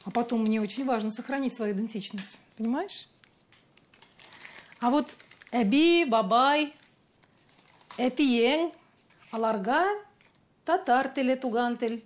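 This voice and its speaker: An actress playing a patient, playful mother in her forties with a Russian accent, gently explaining things to her child in a TV sitcom. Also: on the high side, takes it slowly, long pauses. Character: bilingual mother, patient, fond of teaching. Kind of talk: television sitcom acting.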